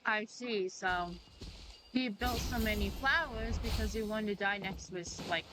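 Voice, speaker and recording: A woman's voice: mid-range voice, measured pace. A woman giving casual, playful livestream commentary on a game, often repeating little catchphrases. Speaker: game streamer. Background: game music.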